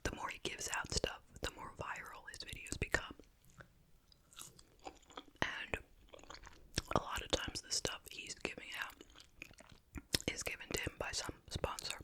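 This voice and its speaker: ASMR host, breathy whisper, no voicing, casual conversational chit chat, hedging asides. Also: moderate pace, pauses often. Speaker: ASMR video creator and host.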